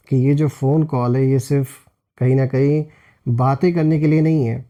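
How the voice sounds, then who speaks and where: A man's voice: medium pitch, warm tone. A man giving a tarot reading as host, explaining things and addressing viewers directly as friends. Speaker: tarot card reader and host.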